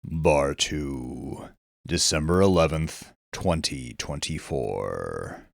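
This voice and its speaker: A man narrating a dramatic scripted audiobook, with deliberate, measured phrasing. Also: very deep voice, animated, gravelly, no room sound, clean studio sound. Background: background music.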